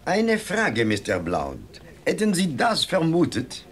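Very animated, teasing French reporter. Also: male voice, on the high side, slow pace, soft background music, heavily acted. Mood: curious. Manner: chatty, teasing. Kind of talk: German radio play acting.

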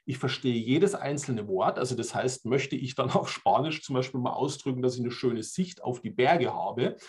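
Forties German male presenter, mid-pitched, webcam talk, explanatory and chatty with tag questions. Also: moderate pace, a little mellow. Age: 40s. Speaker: language trainer. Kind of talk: online conference presentation. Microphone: webcam on video call.

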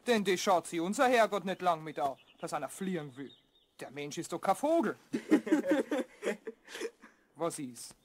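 An actress playing a blunt, grumbling, folksy chestnut seller with a Viennese accent in a radio play. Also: female voice, on the low side, lively intonation, measured pace, pauses often. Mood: scornful.

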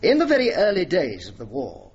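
An actor playing a dignified, earnest and courteous middle-aged major general in a radio drama, speaking in formal manner. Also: male voice, fairly high pitch, wildly swooping pitch, fairly quick, slightly rough, heavily acted. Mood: formal, grave. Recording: dull, faintly noisy radio recording.

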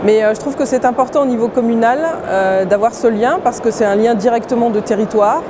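A woman's voice: a little high, brisk. A middle-aged woman, a mayor answering an interview question to camera. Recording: clear urban ambient noise behind.

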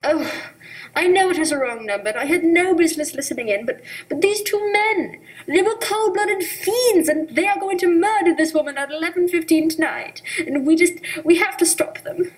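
A woman's voice: extremely high pitch, normal speed, low-quality recording. A woman playing a nervous, indignant, imperious housewife who stammers insistently, in 1940s radio play style. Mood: panicked. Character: nervous, demanding, indignant wealthy housewife alone at night. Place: dark stage, masked, speaking into prop telephone.

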